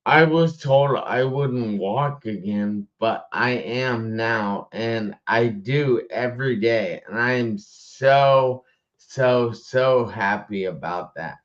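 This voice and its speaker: A man hosts a casual live-stream interview at a very slow pace. He is halting and often repeats words as he goes. The sound is dull and lo-fi, as from an online call. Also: mid-range voice. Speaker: live show host.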